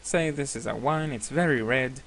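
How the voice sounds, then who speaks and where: A man whose first language is Hebrew, giving a screen-recorded software tutorial with many hesitations and self-corrections. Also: mid-range voice, moderate pace, slight background noise. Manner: apologetic, laughs at himself.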